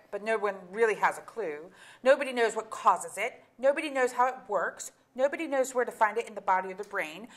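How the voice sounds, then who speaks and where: An exasperated middle-aged woman, a blunt wife and mother. Her voice sits a little high and swings widely. She delivers sardonic, articulate lines with emphasis, in the manner of a staged play reading. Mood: weary, sardonic. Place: theatre auditorium.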